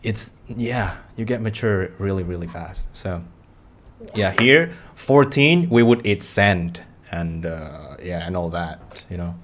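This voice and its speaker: Laid-back low-voiced young Indonesian man. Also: slow pace, slight background noise. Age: 20s. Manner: relaxed, joking, low-key, trails off quietly. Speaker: livestream host. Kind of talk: casual video chat with strangers. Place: small room with shelves and gaming chair.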